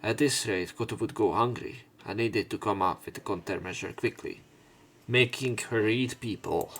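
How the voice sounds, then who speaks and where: A man's voice: on the low side, normal speed, over music. A man reading visual novel narration aloud as a let's player, with casual commentary. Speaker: let's play streamer.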